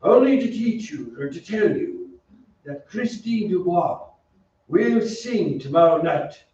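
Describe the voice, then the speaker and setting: Male actor as menacing masked killer, polite, formal, unhurried, radio drama, distant and dull recording with noise. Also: sits high, sing-song, warm tone, exaggerated voice. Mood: quietly menacing. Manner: slow, formal, politely threatening. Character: masked Phantom, soft-spoken courteous menacing killer. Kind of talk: old-time radio drama acting. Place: theatre stage. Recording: very echoey stage, distant, dull, noisy.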